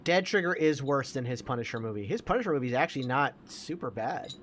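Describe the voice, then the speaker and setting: A man's voice: mid-range voice, expressive, fast, fairly bright tone. A man in his forties with a mid-range voice talks casually as a podcast co-host. He is emphatic and opinionated and repeats phrases for weight. Some background noise sits behind him. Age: 40s. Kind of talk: casual chat about movies.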